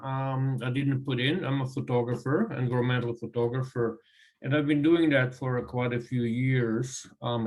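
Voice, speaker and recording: A man from Croatia with a Croatian accent, a guest speaker giving a presentation over a video call, pausing often with ums and uhs. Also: medium pitch. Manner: halting, with frequent ums and uhs. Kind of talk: online presentation.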